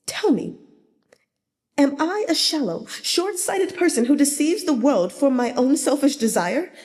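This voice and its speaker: A scheming male courtier voiced by a woman protests his loyalty in an audiobook drama. The voice is pushed high into melodramatic, self-pitying pleading. Long reverb surrounds it. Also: expressive, unhurried, darkish tone. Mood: wailing, aggrieved. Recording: long reverberant hall echo.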